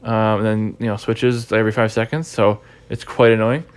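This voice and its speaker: A man's voice: lowish voice, flat delivery, normal speed, clear, resonant tone. Congested man hosting a podcast. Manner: rambling, repeats words while thinking. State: stuffy, congested. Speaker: podcast host. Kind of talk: casual podcast recap chat.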